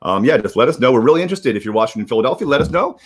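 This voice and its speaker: A man's voice: medium pitch, animated, rapid-fire, slightly rough. A man hosting a casual livestream, talking informally and rambling through announcements with some repetition. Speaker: livestream host. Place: remote video livestream.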